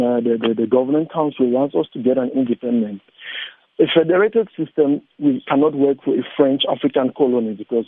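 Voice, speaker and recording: A man from Cameroon giving an opinion as a radio caller, speaking haltingly and repeating words over the phone. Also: mid-range voice, slight background noise. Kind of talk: political opinion given live on air. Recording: muffled, narrow phone line.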